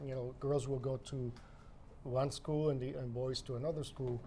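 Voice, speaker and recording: A man's voice: medium pitch, fairly even intonation, moderate pace, warm tone, a little hiss or hum. A man hosting an interview show, asking curious questions in a chatty way and often restarting his sentences. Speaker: host. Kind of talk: interview host.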